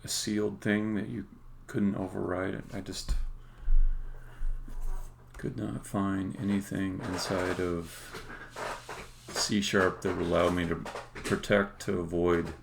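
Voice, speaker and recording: A man thinking aloud while live-coding as a game developer, halting and muttering to himself, with keyboard typing and clicking around him. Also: low-pitched, vocal fry. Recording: faint background noise, keyboard typing. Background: computer keyboard typing and clicking.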